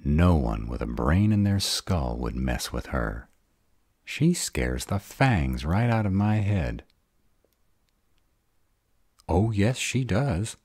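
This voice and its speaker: A man's voice: sits low, very animated, takes it slowly, long pauses, darkish tone, breathy edge, dry recording, studio quality, exaggerated voice. A man playing a sarcastic bad-boy vampire in an audio roleplay drama, drawling, guarded but devoted. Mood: sardonic.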